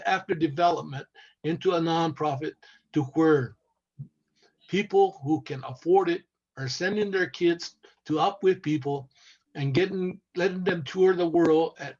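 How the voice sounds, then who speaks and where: Husky older man, halting. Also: fairly high pitch. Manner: halting, repeats words while searching. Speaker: panel guest, former cast member. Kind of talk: video call panel discussion. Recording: muffled lo-fi video call audio.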